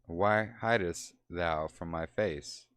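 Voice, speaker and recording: A man's voice: deep voice, takes it slowly, fairly bright tone, clear, resonant tone, no echo. A man reading the lines of a wrathful deity pronouncing judgment in archaic speech. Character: wrathful stern male deity.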